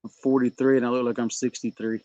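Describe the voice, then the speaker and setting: A man's voice: medium pitch, little pitch movement, fast talker, warm tone, no room sound, dull audio. A man, joking and chatting casually while night fishing on a lake, coaxing the fish aloud. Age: 40s. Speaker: fishing vlog host.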